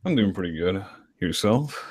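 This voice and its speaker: A man's voice: sits low, lively intonation, normal speed, husky. A man joining a livestream as a guest caller, chatting casually. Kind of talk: casual chat.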